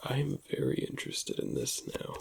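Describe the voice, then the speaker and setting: Whispering man with heavy gravelly creak. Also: medium pitch, very animated, a little hiss or hum. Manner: slow, hushed, lingering delivery. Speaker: ASMR roleplay performer. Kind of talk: whispered ASMR roleplay.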